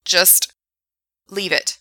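Furious swearing young man, as a woman reads him, loud bright voice, close dry sound. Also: extremely slow, no room sound, heavily acted. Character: furious bitter young male park worker.

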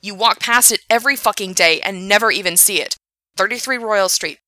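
A man's voice: a little high, moderate pace, vocal fry, dry recording, exaggerated voice. Woman voicing a ranting, furious young man. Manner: loud, swearing, ranting. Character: furious bitter young male park worker.

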